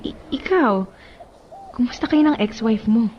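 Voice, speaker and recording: An actress playing a gentle, guarded young widow in a Filipino radio drama, with an emotional, heartfelt delivery. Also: female voice, a little high, lively intonation, unhurried, lo-fi sound, a little hiss or hum. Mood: wistful. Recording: music behind the voice.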